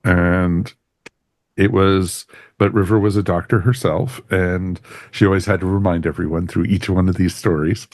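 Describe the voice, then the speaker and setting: Low-voiced guy doing a casual podcast review, rambles a bit, dry close sound. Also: steady tone, moderate pace, a little mellow, no echo. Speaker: podcast host.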